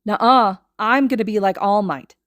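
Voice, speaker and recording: A brash little boy, acted out by a woman narrator, loud and bossy, with pitch pushed up. He is impatient and cocky, boasting his way through a storybook tale. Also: normal speed, no echo, clean studio sound, close to an everyday voice. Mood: boastful.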